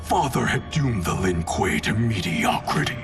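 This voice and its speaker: An actor playing a cold, proud, domineering warrior grandmaster in a game cutscene, stern and commanding. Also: male voice, lowish voice, expressive, measured pace, creaky. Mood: contemptuous. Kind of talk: video game cutscene acting. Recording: distant voice under loud cinematic music.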